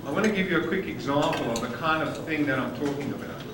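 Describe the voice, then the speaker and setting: A man's voice: on the high side, fast, very noisy. A man with a South African accent giving a conference presentation, stressing key words emphatically, recorded from afar in a large hall. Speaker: conference speaker. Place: conference hall with dining noise. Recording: echoey hall from far off on old videotape.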